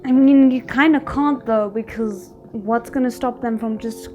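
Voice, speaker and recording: A woman's voice: fairly high pitch, steady tone, brisk, mellow, faint music behind. A woman giving casual, wry reaction commentary, putting rhetorical questions to the characters on screen. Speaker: reaction video host. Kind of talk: casual live reaction commentary to a drama series.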